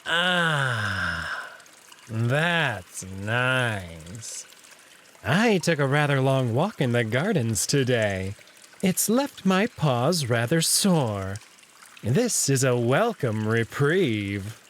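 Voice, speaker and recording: A man's voice: medium pitch, big pitch swings, drawn-out words, fairly bright tone, a little hiss or hum, heavily acted. A man voicing a suave male jackal courtier in a visual novel, with polite, airy small talk that carries a hidden edge. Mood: relaxed, languid.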